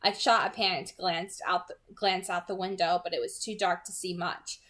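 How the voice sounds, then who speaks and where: A woman's voice: lowish voice, steady tone, moderate pace, fairly bright tone. A woman reading a novel aloud as an amateur audiobook narrator.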